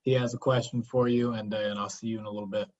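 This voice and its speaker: A man's voice: on the low side, flat delivery, fast talker, darkish tone. A man who is a camp coach, moderating a virtual Q&A in a friendly, upbeat way with frequent "um" fillers. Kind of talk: hosting a virtual Q&A. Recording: dull lo-fi call audio.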